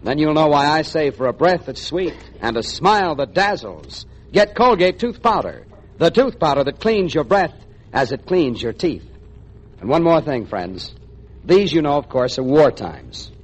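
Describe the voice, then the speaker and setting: A man's voice: fairly high pitch, big pitch swings, moderate pace, breathy edge, rough recording. A man announcing a 1940s radio advertisement as an emphatic, friendly salesman. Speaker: commercial announcer.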